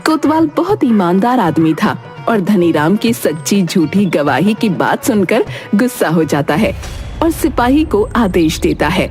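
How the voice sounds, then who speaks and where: A woman's voice: medium pitch, sing-song, unhurried, darkish tone, soft background music. A woman narrator reading a scripted moral tale in a storytelling style.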